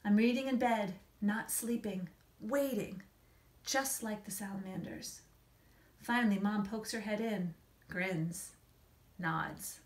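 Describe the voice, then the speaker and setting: A woman in her forties, an author reading and explaining things to children in an expressive, emphatic storytelling manner. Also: medium pitch, slow pace. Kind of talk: expressive picture-book read-aloud and explanation to children. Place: small indoor room against a plain wall.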